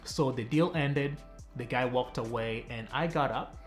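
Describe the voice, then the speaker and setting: A man's voice: medium pitch, a little slow, soft background music. A young man from Tanzania, a relationship coach, telling viewers a personal story in a direct, chatty vlog style.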